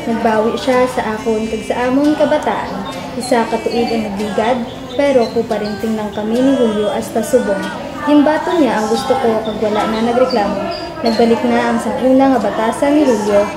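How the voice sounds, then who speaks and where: A woman's voice: fairly high pitch, natural voice. A woman with a Western Visayan Filipino accent narrating a radio drama as a wounded but resilient mother. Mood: resolute, reflective. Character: wounded, resilient mother telling her own story. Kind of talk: scripted first-person radio drama narration. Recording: echoey room, loud music bed.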